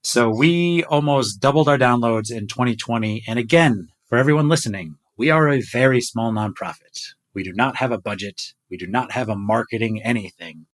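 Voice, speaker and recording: A man's voice: mid-range voice, normal speed, few pauses. A middle-aged man hosting a podcast conversation over a video call, enthusiastic as he shares numbers with his team. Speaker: podcast host. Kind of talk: casual chat.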